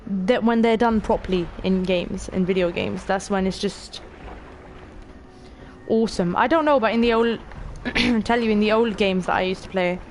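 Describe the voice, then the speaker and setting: A woman in her late teens with a stuffy, sniffly voice chats through a game stream. She is excitable and offhand, swearing casually and talking straight to her viewers at an ordinary pitch, with a faint hiss behind. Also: moderate pace. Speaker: gaming streamer. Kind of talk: casual let's-play commentary.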